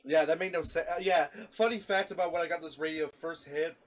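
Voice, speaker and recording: Giggly young man, higher-pitched voice. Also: fairly even intonation, brisk. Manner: giggly, easily breaks into laughter. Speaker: video host, hobby radio collector. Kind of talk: casual review with friends on a call.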